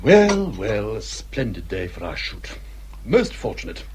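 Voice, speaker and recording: An actor playing an easygoing, hearty young country squire in a period drama, with an English accent and an offhand, genial manner. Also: male voice, fairly low pitch, wildly swooping pitch, unhurried, noisy background. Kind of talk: British period radio drama acting.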